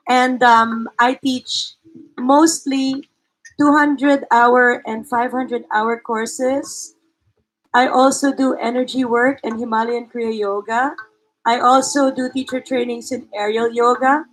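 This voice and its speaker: A woman who trains yoga teachers, introducing herself plainly with pauses and fillers. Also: on the high side, low-quality recording. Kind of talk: self-introduction.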